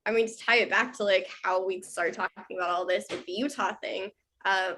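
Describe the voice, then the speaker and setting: A woman's voice: medium pitch, steady tone, fairly quick, bright, forceful tone, a little hiss or hum. A woman in her late twenties, a podcast host, telling stories casually and poking fun at herself. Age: 20s.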